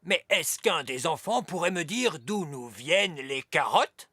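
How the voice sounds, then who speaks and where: A woman's voice: low-pitched, lively intonation, a little slow, a bit bright, husky, no room sound, exaggerated voice. A woman voicing a fussy, pedantic old male elf who acts like a schoolmaster in a French-dubbed children's cartoon. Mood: instructive. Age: older.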